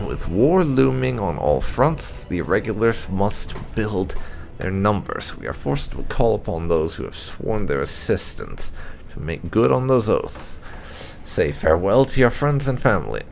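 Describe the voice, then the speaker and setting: Creaky, low-key man reading fantasy lore. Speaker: gaming YouTuber reading in-game texts aloud. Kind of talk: dramatic read-aloud of fantasy game documents.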